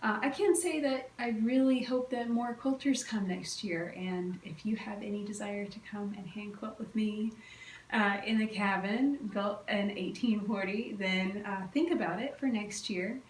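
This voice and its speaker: A woman's voice: mid-range voice, steady tone, unhurried. A woman with a slightly stuffy, cold-affected voice, chatting informally and enthusiastically as a craft podcast host. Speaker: podcast host, quilting teacher. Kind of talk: casual solo chat, craft update. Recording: roomy echo, distant mic, faint noise.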